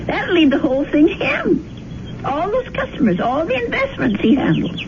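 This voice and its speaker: An earnest older woman troubled by her conscience in a radio mystery. Her voice is high and very animated, with fretful emphasis. It comes through a noisy old 1970s broadcast. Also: moderate pace, highs cut off. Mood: insistent.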